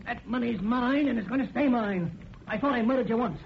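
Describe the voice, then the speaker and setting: A man's voice: extremely high pitch, brisk, gravelly, a little hiss or hum. An actor in a 1940s radio melodrama playing a greedy, murderous father who snarls menacing threats. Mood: menacing rage. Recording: dull old radio recording, music underneath.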